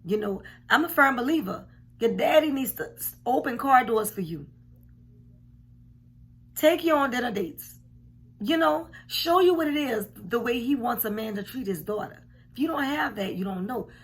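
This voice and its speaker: A woman in her forties, a bit hoarse, giving frank, emphatic commentary from her home, repeating points for weight. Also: on the high side, lively intonation, moderate pace. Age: 40s. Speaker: commentary vlogger. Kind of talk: casual reaction commentary. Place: home room indoors. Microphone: camera at desk distance.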